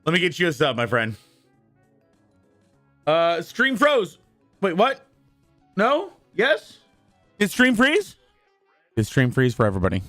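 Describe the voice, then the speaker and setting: A male streamer with a worn-out, husky voice that sits a little high and swings widely in pitch. He rambles casually to his chat and bursts into loud greetings, with faint music playing underneath. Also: wildly swooping pitch, unhurried, long pauses. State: hoarse, worn-out voice. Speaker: live streamer.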